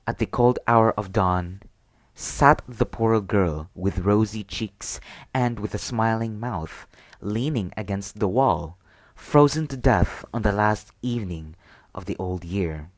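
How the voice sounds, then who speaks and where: A man reading a bedtime story as ASMR, with a gentle, deliberate storybook delivery. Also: fairly low pitch, no echo.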